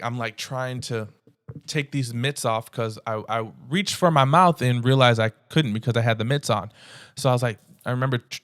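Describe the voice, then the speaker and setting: A man's voice: medium pitch, clear, resonant tone, no room sound, studio quality. Earnest young man telling his story. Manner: earnest, conversational, animated retelling with frequent restarts. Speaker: podcast host. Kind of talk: personal storytelling.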